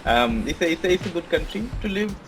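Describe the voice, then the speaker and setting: A man's voice: on the high side, animated, fast talker, music in the background, poor audio. A man from Bangladesh, a podcast guest, answering interview questions in a casual, hesitant way with many fillers and restarts. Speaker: podcast guest, software engineer.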